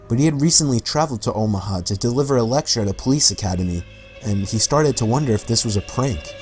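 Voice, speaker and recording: Male narrator, scripted mystery documentary read, mid-pitched voice, music underneath. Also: darkish tone. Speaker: video narrator.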